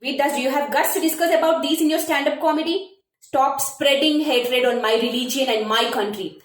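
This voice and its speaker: A woman's voice: high-pitched, fairly quick, roomy sound. A woman with an Indian accent, a radio jockey delivering an indignant, declamatory scripted monologue built on one repeated refrain. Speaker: radio jockey giving commentary.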